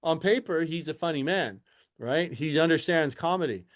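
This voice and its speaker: A man's voice: fairly high pitch, lively intonation, moderate pace. A man co-hosting a casual podcast with a sardonic, grumbling manner and dry humor, swearing freely. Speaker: podcast co-host. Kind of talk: casual profane podcast chat.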